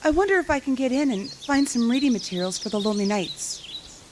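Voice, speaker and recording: A woman playing a curious wandering survivor who loves books, in an audio drama, with an expressive, questioning delivery. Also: fairly high pitch, fairly quick, close to an everyday voice. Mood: wary, hopeful. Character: wandering female book lover, curious, pleading, indignant survivor. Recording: clearly noisy background with clicks.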